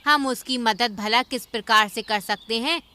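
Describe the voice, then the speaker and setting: A woman's voice: fairly high pitch, measured pace, pushed and bright. A woman voicing a sensible, cautious bird auntie in a cartoon, reasoning matter-of-factly. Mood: measured.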